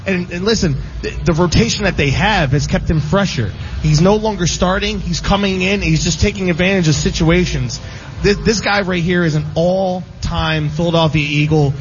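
A man's voice: high-pitched, moderate pace, a little hiss or hum. A man co-hosting a sports talk show, speaking with enthusiastic emphasis in casual chat. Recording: slightly muffled broadcast audio.

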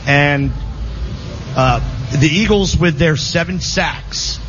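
A man's voice: on the high side, slow pace, a bit bright. A man hosting a sports talk show, talking casually with many restarts and fillers. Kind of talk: casual sports radio chat. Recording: slightly muffled broadcast audio. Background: music in the background.

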